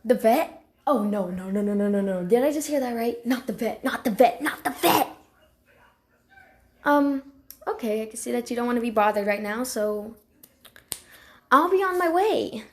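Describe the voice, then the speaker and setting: A woman voicing a timid, excitable pampered house cat for animated character lines, with a hesitant, stammering, dramatic delivery. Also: on the high side, normal speed, long pauses. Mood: awkward.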